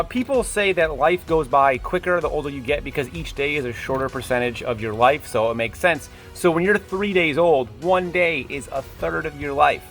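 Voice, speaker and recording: A man's voice: medium pitch, a bit bright. Rambling, casual man in his late twenties. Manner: rambling, self-deprecating, tangential. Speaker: YouTube creator and host. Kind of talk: casual rambling vlog monologue.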